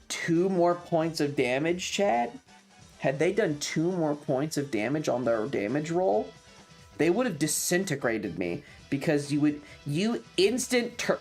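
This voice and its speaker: A mid-pitched streamer recounts a tabletop adventure to a live chat, with music playing behind. The delivery is casual and sweary, leaning hard on key words and repeating them for dramatic effect. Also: a little mellow. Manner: emphatic, repeats phrases for drama. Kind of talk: animated storytelling to a livestream chat about a tabletop game.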